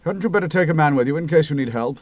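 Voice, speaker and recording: A man voicing a helpful male naval navigating officer who calls out briskly. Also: fairly high pitch, animated, darkish tone, no room sound, voice pushed up, natural voice. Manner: brisk, calling out.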